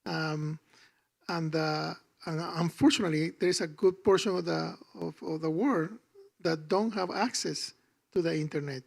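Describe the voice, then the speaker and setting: A man from Peru, speaking as a panelist in an earnest, halting way. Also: on the high side, vocal fry. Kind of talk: panel discussion.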